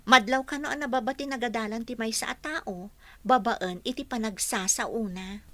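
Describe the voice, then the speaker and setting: A woman plays a young housekeeper in a radio drama. She is respectful yet sure of herself, with a bright, fairly high voice recorded dry and close. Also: normal speed, dry recording. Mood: earnest. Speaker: voice actress in a radio play. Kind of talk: Ilocano radio comedy drama acting.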